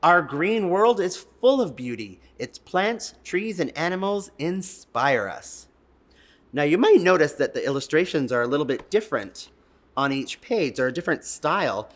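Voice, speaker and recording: A storytime co-host reading and explaining to young children in an expressive way, stretching words for emphasis and speaking directly to the listeners. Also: mid-range voice, moderate pace.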